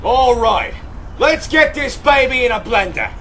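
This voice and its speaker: A man's voice: extremely high pitch, animated, measured pace, fairly bright tone, slight background noise, heavily acted. A man playing a brash, hotheaded, slangy extreme sports show host in a comedic audio play. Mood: hyped up.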